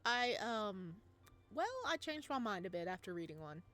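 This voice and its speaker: A woman's voice: medium pitch, sing-song, moderate pace, a bit bright, soft background music. Alex, voiced by a woman, hesitant young dad. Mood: flustered. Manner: hesitant, self-conscious. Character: young adult male teacher, single dad. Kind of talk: reading a visual novel aloud.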